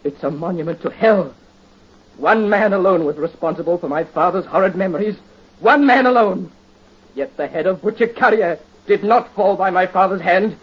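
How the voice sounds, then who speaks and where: A man's voice: high voice, normal speed, husky, lo-fi sound. A radio actor playing a tormented young nobleman in 1930s radio drama style, anguished and pleading, on an old recording. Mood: defiant.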